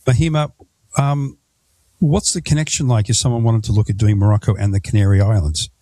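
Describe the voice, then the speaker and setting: A man hosts a travel podcast in a casual, conversational way and keeps asking his guests questions. His voice sits a little low and sounds mellow. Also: fairly even intonation, fairly quick, no room sound. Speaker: podcast host. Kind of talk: casual interview chat.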